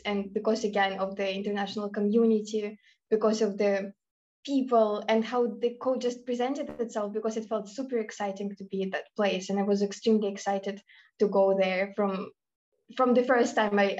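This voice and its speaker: A woman's voice: medium pitch, a little mellow, muffled recording. A woman from Russia, a student speaking enthusiastically and reflectively on a remote panel over a video call. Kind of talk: casual remote panel answer.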